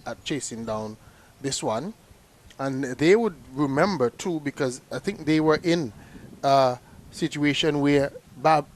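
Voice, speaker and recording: A man giving live cricket commentary in a chatty way, often repeating words as he restarts a sentence. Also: a little high.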